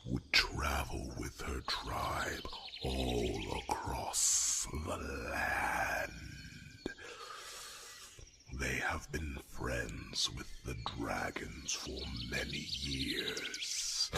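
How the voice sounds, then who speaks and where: A man voicing a huge, weary old dragon, gentle and courtly, in a gravelly, breathy growl with rumbling hums, for a fantasy ASMR roleplay. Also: very noisy, heavily acted. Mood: warm, deferential. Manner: slow, halting, rumbling hums between phrases.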